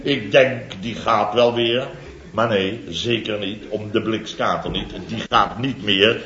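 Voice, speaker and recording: An actor playing a boastful middle-aged contractor, telling a comic story in a theatrical, chatty way for a 1970s radio comedy serial. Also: male voice, fairly low pitch, fairly even intonation, takes it slowly, sharp tone, reverberant, muffled recording. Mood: indignant.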